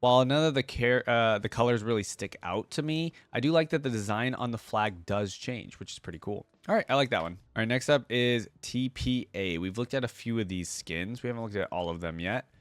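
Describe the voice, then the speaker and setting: A man's voice: mid-range voice, a little fast, few pauses, no echo. A man hosting a casual reaction commentary on game skins, thinking aloud in an informal way. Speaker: YouTube reaction host.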